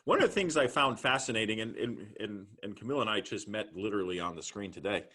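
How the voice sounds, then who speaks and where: A man's voice: on the low side, lively intonation, quick pace, bright, forceful tone. A man from Germany, a native German speaker, chatting casually as an interviewer and circling back over his phrases.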